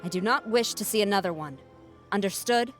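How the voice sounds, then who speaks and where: A woman playing a stern, formal military commander in a video game, speaking with measured authority. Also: medium pitch, natural voice. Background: game music.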